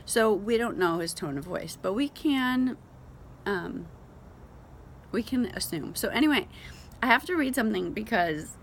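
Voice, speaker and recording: A woman's voice: mid-range voice, expressive, unhurried, creaky, pauses often, a little hiss or hum. A woman giving an informal devotional talk in a conversational, emphatic way, as host of a Bible reading show. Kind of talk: casual devotional talk with scripture read aloud.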